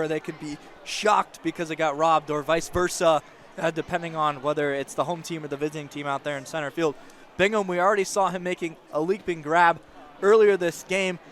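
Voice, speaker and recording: A man's voice: fairly high pitch, fairly bright tone, slight background noise. A man giving live baseball color commentary, explaining and judging the play in a conversational, opinionated way. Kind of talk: live analytical sports commentary. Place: outdoor baseball stadium broadcast position.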